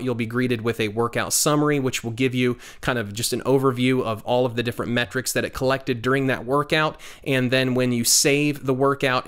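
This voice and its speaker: Smooth-voiced man explaining fitness apps. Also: medium pitch. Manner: explanatory, steady, with frequent "uh" fillers. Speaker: tech reviewer. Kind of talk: product review voice-over.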